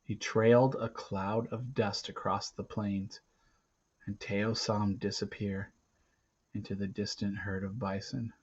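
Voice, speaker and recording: A man reading an adventure novel aloud in a dramatic, emphatic way, swinging between hushed and loud delivery. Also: fairly low pitch, level tone, a little slow, breathy edge. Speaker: reader of a children's adventure novel. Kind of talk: dramatic read-aloud narration. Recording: dull, lo-fi recording.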